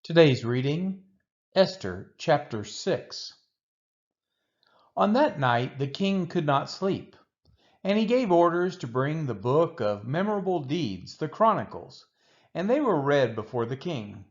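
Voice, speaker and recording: A man gives an expressive reading of scripture in measured, deliberate phrases. His pace is slow and his intonation rises and falls widely. The recording sounds a little dull and lo-fi. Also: on the high side. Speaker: scripture reader.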